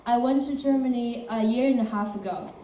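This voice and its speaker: A woman's voice: on the high side, brisk, warm tone, close to an everyday voice. A woman playing a newcomer from Shanghai who earnestly compares food and football in an amateur stage performance. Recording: echoey room from far off, faint noise.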